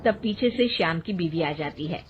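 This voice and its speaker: A woman's voice: lowish voice, expressive, moderate pace, husky, soft background music. A woman reading scripted story narration. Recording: thin phone-like sound, faint noise.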